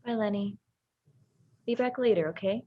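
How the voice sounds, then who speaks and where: A woman's voice: mid-range voice, moderate pace, breathy edge, close to an everyday voice. A woman playing a sweetly sinister, vicious young woman in a dramatized horror script reading, cruel and tender at once. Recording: slightly dull livestream audio.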